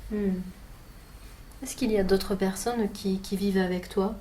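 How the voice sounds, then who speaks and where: Patient woman hypnotherapist, mellow tone. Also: mid-range voice, steady tone, measured pace, a little hiss or hum. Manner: gentle, patient questioning, echoes the client's answers. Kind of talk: guiding a hypnosis session with questions.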